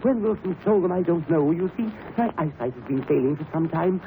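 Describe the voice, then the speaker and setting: A man's voice: on the high side, expressive, brisk, warm tone. A man playing an apologetic, remorseful elderly professor in a 1930s radio drama. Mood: contrite, flustered. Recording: tinny, hissy 1930s radio recording.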